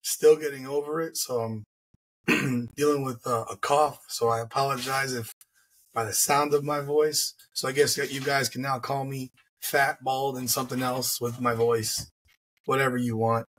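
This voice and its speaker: A man in his thirties hosts a sports podcast from a room at home, his voice husky and worn from a recent flu. He speaks in a measured, teasing way. He comes through a headset on a video call. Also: mid-range voice, fairly even intonation, a bit bright. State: hoarse, getting over the flu. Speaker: podcast host. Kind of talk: casual sports chat.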